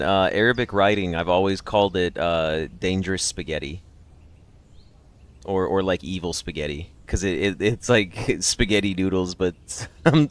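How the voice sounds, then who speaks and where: Low-voiced man chatting on a livestream. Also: a bit bright, no echo. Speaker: livestream host. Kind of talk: casual chat with live stream viewers.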